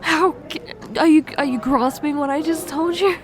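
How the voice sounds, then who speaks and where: A young woman performing an emotional roleplay confession, halting and tearful, with many sighs and restarts. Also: high-pitched, steady tone. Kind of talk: emotional ASMR fiancée roleplay monologue.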